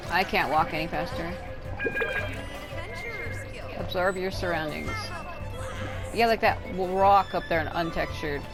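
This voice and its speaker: Low-voiced woman playing a game, music behind. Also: measured pace, long pauses. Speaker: gamer doing a let's play. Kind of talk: casual game commentary, reading choices aloud. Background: video game music.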